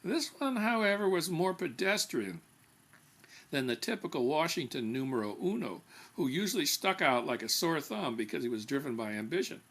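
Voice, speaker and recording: A man reading his own comic fiction aloud as an author, with animated, emphatic storytelling. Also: fairly high pitch, normal speed. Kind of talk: expressive scripted narration of comic fiction.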